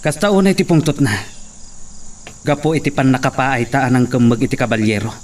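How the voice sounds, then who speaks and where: A man's voice: medium pitch, moderate pace, a little mellow, lightly acted. A man with an Ilocano accent acting as a fearful, defensive worker in a radio drama. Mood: fearful, agitated. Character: fearful, defensive male worker threatened with death. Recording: distant mic, faint noise, crickets behind.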